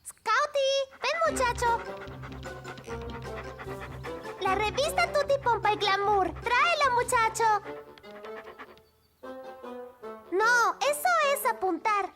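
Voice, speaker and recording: A woman voicing an excitable, chatty young girl bookshop owner in a dramatic cartoon dub style. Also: very high voice, unhurried. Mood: bossy, exasperated. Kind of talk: animated cartoon dub acting. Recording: loud music behind voice. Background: cartoon music.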